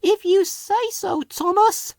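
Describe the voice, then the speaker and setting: A man voicing a sweet, polite female steam tram in a children's storybook, in a gentle way. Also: very high voice, takes it slowly, gravelly, no room sound, clean studio sound, voice pushed up, full character voice. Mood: agreeable.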